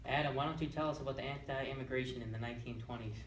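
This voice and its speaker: A man's voice: medium pitch, steady tone, rapid-fire. A young man acting as student co-anchor, reading scripted newscast handoffs. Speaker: student co-anchor handing over to reporter. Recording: roomy, distant mic with background noise.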